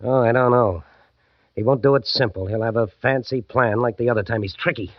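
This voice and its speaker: Fast-talking, hard-bitten American detective played by a man. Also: medium pitch, dry recording, close to an everyday voice. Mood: worried, matter-of-fact. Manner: brisk, slangy, matter-of-fact. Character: businesslike, worried male private detective, married, hard-bitten. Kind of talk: old-time radio detective drama acting. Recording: dull vintage radio recording.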